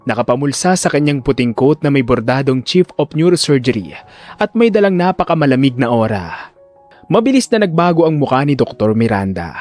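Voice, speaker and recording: Audio story narrator: male, medium pitch, dramatic, expressive intonation. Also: a little fast, soft background music.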